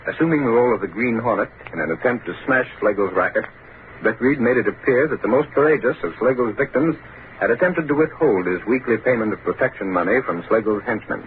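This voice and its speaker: A man's voice: mid-range voice, lively intonation, fast, a little hiss or hum. A man narrating a scripted drama in vintage radio style. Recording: narrow-band vintage radio with music. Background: music.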